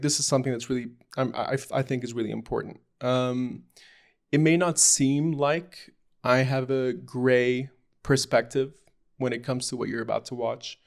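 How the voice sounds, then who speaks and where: A man's voice: mid-range voice, vocal fry. A young man from Lebanon introducing himself and his show off the cuff, hesitant and rambling, with many ums and restarts. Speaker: podcast host. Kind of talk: unscripted personal introduction.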